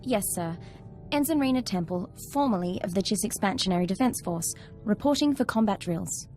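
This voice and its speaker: A young female ensign acted by a woman in a sci-fi game, with a mid-pitched voice. She sounds eager and respectful, formal in military fashion but earnest and personable. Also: expressive, fairly quick, a little mellow, faint music behind, lightly acted. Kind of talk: video game cutscene acting.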